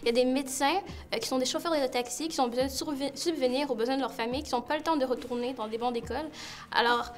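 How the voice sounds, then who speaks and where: A young woman from Canada, a student, answering in an interview in a hesitant, halting way. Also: sits high. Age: young adult. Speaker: student panelist.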